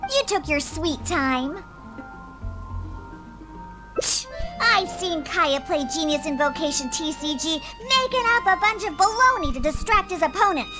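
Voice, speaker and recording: Very high, pouty girl scold. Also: expressive, music in the background. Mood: scornful. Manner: pouty, scolding, quick bursts. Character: feisty young girl who scorns drunkards. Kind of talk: game voice acting.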